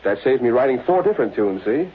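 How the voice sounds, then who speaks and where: Glib racketeer songwriter, rapid and slangy, vintage radio drama, muffled audio. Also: male voice, mid-range voice, big pitch swings, husky. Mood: cocky, scoffing. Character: brash, cynical song-racket huckster and tunesmith. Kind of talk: 1930s-40s radio drama acting. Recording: muffled old radio recording.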